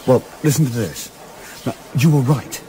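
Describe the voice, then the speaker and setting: Casting: male actor as earnest, plain-spoken yachtsman, animated mid-range voice, radio drama with faint ambient noise. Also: mid-range voice, moderate pace, natural voice. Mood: eager, earnest. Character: keen, plain-spoken Edwardian English yachtsman, loyal amateur sleuth. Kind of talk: radio play acting.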